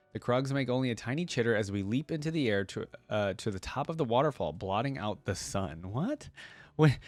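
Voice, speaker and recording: A young man with a fairly low voice, recorded close and dry. He reads story text aloud and drops in informal asides as a reaction host. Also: no room sound. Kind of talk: reading a story aloud with casual commentary.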